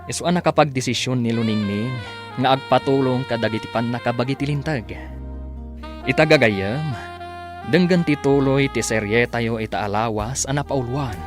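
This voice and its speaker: A man's voice: mid-range voice, moderate pace, over music. A man with an Ilocano accent announcing and narrating a radio drama in a scripted, dramatic style.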